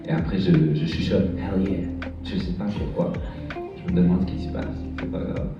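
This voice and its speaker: A young man from France performing a stand-up set on a bar stage, talking in a halting way with lots of fillers and restarts. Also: low-pitched, fairly even intonation. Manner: hesitant, halting delivery with many fillers and restarts. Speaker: stand-up comedian. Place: bar stage with live audience. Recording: echoey, dull room sound from far off, music behind.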